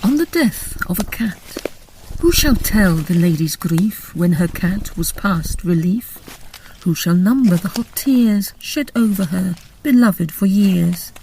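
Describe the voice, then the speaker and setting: A woman giving an expressive, theatrical reading of literary narration and verse. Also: fairly low pitch, slight background noise, poor audio. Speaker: narrator and poetry reader. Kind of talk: literary narration and dramatic recitation.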